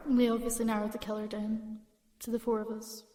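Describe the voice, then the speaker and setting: A woman's voice: on the high side, flat delivery, a little fast, background noise, natural voice. A woman playing an observant, suspicious member of a theatre company in an audio drama. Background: music.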